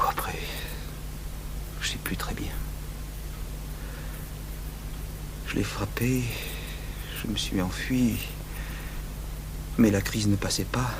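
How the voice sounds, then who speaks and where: An actor in a French radio drama playing a tormented, remorseful man who confesses his murderous compulsion in an anguished, halting way. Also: lowish voice, natural voice. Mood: remorseful, broken. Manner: anguished, halting, swinging from outbursts to hushed murmurs. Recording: noisy, humming 1980s radio broadcast tape.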